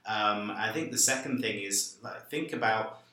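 Lowish bright man, echoey hall. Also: unhurried. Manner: rambling, repeats "I mean" and "um". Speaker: panel guest, tech hiring. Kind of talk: panel discussion. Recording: very echoey room.

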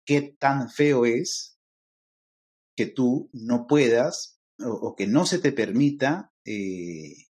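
A man with a Peruvian accent, a guest lecturer explaining ideas thoughtfully in a podcast interview, often pausing on fillers. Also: mid-range voice. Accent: Peruvian Spanish. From Peru.

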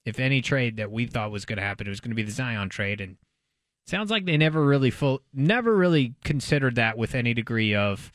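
An American man co-hosting a sports talk show, speaking in a casual, animated, conversational way. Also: medium pitch, brisk, no room sound, studio quality. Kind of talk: casual sports radio discussion.

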